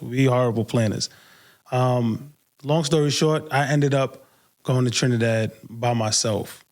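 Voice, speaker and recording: Slow-paced New York man, casual podcast talk. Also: mid-range voice. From Brooklyn, New York, United States. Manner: unfiltered, casual swearing and slang. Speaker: podcast host.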